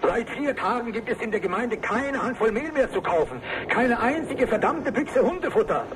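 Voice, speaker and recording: Bitter male mayor, high-pitched, emphatic pleading, radio drama, clearly noisy two-way link. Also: expressive, normal speed. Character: desperate, bitter mayor of a starving town. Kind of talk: acted radio link interview.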